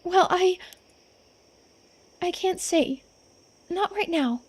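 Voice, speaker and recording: A woman's voice: very high-pitched, very slow, pitched above the performer's own voice, full character voice. A woman voicing an anxious, earnest young woman from a visual novel, sweet but stubborn and often hesitant. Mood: flustered, apologetic.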